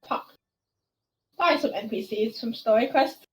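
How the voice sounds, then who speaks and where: High-voiced woman chatting while gaming. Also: normal speed. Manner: rambling, trailing off, giggly. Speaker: game streamer. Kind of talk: casual chat while playing a video game. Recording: faint game music behind. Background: video game audio.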